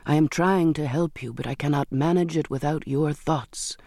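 A narrator voicing an old seaman in an audiobook, a gentle, shrewd friend who coaxes earnestly in formal, old-fashioned speech. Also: mid-range voice, moderate pace, gravelly, dry recording, studio quality. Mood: grave, insistent.

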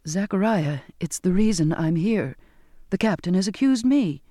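A man's voice: sits high, lively intonation, moderate pace, warm tone, dry recording, clean studio sound. A man voicing a troubled, earnest, well-bred young girl in an audiobook, with a hesitant, formal manner. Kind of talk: audiobook character dialogue.

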